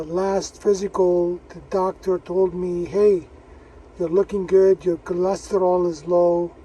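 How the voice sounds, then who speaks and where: Man, early sixties, mellow radio hobbyist chat, recorded at a distance with faint noise behind. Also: high voice. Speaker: amateur radio operator. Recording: distant mic, vehicle noise.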